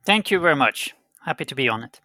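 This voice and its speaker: A middle-aged man from Sweden, a native Swedish speaker with a Swedish accent, answering interview questions conversationally in a halting, hesitant way. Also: a little high, animated, sharp tone, no echo, clean studio sound. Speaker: interview guest, game company CEO.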